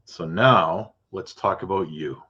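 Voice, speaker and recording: A man's voice: deep voice, bright, forceful tone, slightly rough, muffled recording. A man hosting a podcast, asking casual, rambling questions and often stopping to rephrase them mid-sentence. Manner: chatty, restarts his questions, quick acknowledgments. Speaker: podcast host and realtor. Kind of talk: casual interview.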